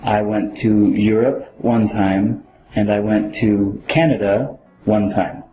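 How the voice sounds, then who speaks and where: Slow, careful man in an echoey room. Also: on the low side, fairly even intonation, over music. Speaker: applicant in a mock interview. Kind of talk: scripted role-play.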